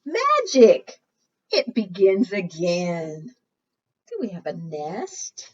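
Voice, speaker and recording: A woman talks to preschoolers as a storytime librarian, her pitch swinging widely as she coaxes them with questions. She takes her time, and the sound is muffled, as from a microphone some way off. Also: very animated, drawn-out words, darkish tone, husky, far from the mic. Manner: coaxing questions, exaggerated gasps and singsong refrains. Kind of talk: interactive storytime talk to small children.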